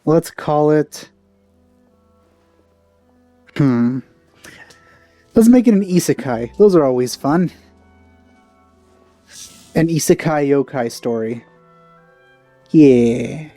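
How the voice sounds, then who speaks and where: A man's voice: a little high, lively intonation, slow, long pauses, warm tone, dry recording. A man giving casual gameplay commentary with dry, wry asides, close on the mic. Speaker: let's play gamer. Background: game music.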